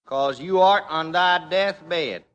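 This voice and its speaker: An actor playing a jealous Texas cowhand bungling Othello, reciting haltingly in a folksy drawl in a comic radio play. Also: male voice, a little high, fairly bright tone, smooth, in a large hall, dull audio. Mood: stern, halting. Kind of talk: comic old-time radio acting.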